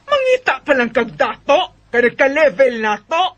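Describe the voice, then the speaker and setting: High-voiced, nagging, indignant mother. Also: sing-song, unhurried, highs cut off, full character voice. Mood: indignant, scolding. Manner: nagging, indignant, sharp-tongued. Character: scolding, disapproving mother of the bride. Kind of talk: Filipino radio drama acting.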